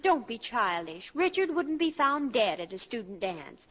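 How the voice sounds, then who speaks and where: A woman's voice: fairly high pitch, sing-song, moderate pace. An actress playing a self-assured, crafty teenage college girl in 1940s radio comedy, breezy and dismissive. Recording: dull vintage 1940s radio recording.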